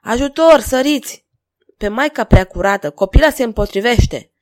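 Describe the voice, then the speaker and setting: A woman's voice: medium pitch, animated, a little mellow, close to an everyday voice. A woman voicing a frightened, indignant young maiden in an audiobook, crying out in short pleas. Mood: terrified.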